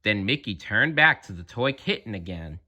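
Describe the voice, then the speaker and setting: A man reading a children's story aloud in an expressive narrating style. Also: lowish voice, moderate pace. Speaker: storybook reader.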